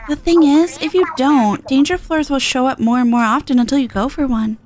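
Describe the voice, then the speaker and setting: A woman's voice: high-pitched, fairly even intonation, brisk, darkish tone, natural voice. A woman voicing an earnest, caring, brainy young female game character who explains things carefully. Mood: concerned.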